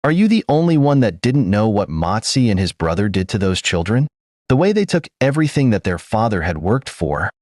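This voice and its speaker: A man's voice: lowish voice, sing-song, a little fast, a little mellow, no echo, clean studio sound. A man voicing a patient older queen in a read folk tale, explaining matters to her husband. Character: calm, wise older queen, gently teasing.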